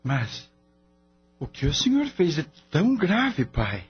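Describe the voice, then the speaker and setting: A man's voice: on the high side, wildly swooping pitch, slow pace, full character voice. A man acting in a radio drama as an earnest, anguished grown son, speaking with emotional, pleading delivery. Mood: shocked, hurt. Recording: dull lo-fi radio drama, faint music.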